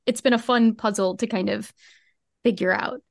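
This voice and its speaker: A woman's voice: mid-range voice, fairly even intonation, normal speed, gravelly, dry recording, studio quality. A woman speaking as a podcast guest in casual, earnest, emphatic interview chat. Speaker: podcast guest, fiction podcast co-creator.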